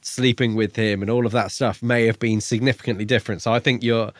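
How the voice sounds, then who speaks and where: A man co-hosting a podcast in casual book chat, phrasing his thoughts hesitantly with pauses. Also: mid-range voice, flat delivery, dry recording. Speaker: podcast co-host.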